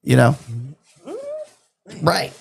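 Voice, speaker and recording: A young man from New York telling a profane, animated story in a casual podcast chat. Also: extremely slow, slight background noise. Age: 20s.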